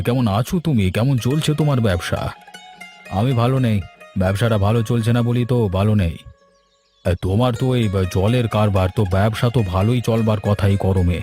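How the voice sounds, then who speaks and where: A man voices a plain-spoken villager in a cartoon folk tale. The voice is mid-pitched and soft-edged, dark rather than sharp, with light music underneath. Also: natural voice. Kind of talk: scripted cartoon dialogue. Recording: music behind the voice. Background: background music.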